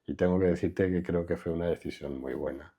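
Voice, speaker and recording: Low, husky-voiced older man. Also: fairly even intonation, mellow. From Madrid, Spain. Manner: reflective, unhurried, trails off with fillers. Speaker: architect, interview guest. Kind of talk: relaxed conversational interview.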